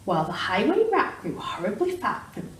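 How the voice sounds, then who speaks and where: Female children's story reader, animated intonation, measured rhythm, slightly distant mic with background noise. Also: mid-range voice, reverberant. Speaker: primary school teacher reading aloud. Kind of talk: children's storybook reading in rhyme.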